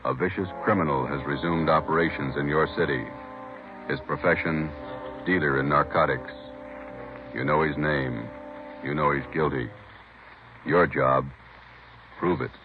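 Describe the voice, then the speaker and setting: A man giving solemn, grave scripted narration as a vintage radio announcer, with deliberate delivery. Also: rumbling low, fairly even intonation. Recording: tinny vintage radio with music.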